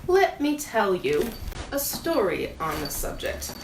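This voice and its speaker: A woman's voice: medium pitch, sing-song, slow, distant mic. A woman voicing a small, earnest, inquisitive green linnet in a storybook, with a questioning, storytelling manner. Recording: roomy echo, audible background noise.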